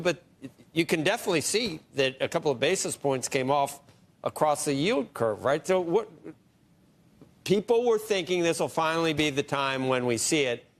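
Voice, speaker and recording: Slightly high-voiced male financial anchor. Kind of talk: conversational live market discussion.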